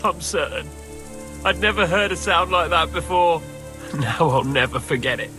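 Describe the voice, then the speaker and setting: High, tense, bitter male survivor. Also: pushed and bright, soft background music. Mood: distraught. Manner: halting, bitter. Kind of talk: video game dialogue acting.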